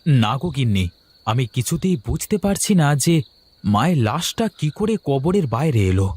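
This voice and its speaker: A man's voice: mid-range voice, big pitch swings, normal speed, a little mellow, breathy edge, dry recording. A man voicing a fretful, bewildered village man in a cartoon horror story, speaking urgently and full of questions. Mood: puzzled, insistent. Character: Mahesh, agitated, bewildered village man grieving his mother.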